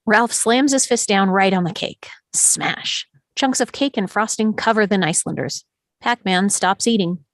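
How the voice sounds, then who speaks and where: A woman's voice: mid-range voice, moderate pace, dry recording. Woman narrator, very dry sound. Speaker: narrator reading stage directions. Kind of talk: scripted narration at a table read.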